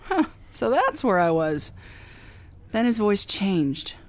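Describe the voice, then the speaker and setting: An actress plays a young lady private eye in a radio drama, telling her case with a dry, wry hardboiled voice. Her pitch sits in the middle. It has a live-performance radio-play feel. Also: big pitch swings, slow, slight background noise. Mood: narrating. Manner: dry, wry first-person hardboiled narration. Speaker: narrator and lead detective. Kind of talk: 2009 re-creation of 1950 radio drama. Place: recorded live, San Francisco.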